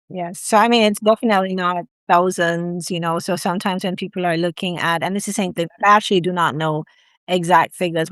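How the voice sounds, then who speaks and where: A woman hosting an interview, asking questions in a measured, encouraging way. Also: lowish voice, dry recording. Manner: measured, encouraging, slow phrasing. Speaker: host, admissions consultant.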